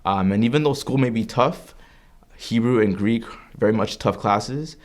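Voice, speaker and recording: A man's voice: fairly low pitch, moderate pace, darkish tone. A man in his early twenties from Canada, a religious studies student giving an earnest, reflective personal testimony with frequent fillers and restarts. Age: 20s.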